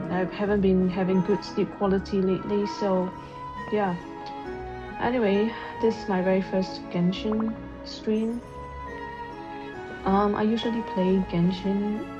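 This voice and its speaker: A woman chats casually as she streams a game from home, low-key and unhurried in tone. Her voice sits at a middling pitch, picked up by earbuds that dull the sound. Game music plays clearly behind her. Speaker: livestreamer. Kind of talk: casual stream chat while gaming. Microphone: wireless earbuds mic on a phone.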